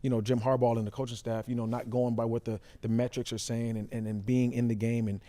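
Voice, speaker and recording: A man with a mellow, dark-edged voice talks sports at a quick clip. He is casual and slangy, circling back to repeat phrases as he makes his points as an analyst. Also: medium pitch, little pitch movement. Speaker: former player turned sports show analyst. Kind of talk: casual sports show discussion.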